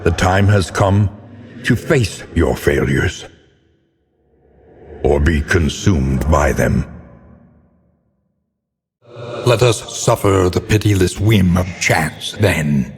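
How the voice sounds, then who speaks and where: A male actor playing a grave, foreboding narrator in a gothic horror game, speaking in an ornate, theatrical and deliberate manner. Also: deep voice, expressive, big-room sound, slight background noise, heavily acted. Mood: solemn, foreboding.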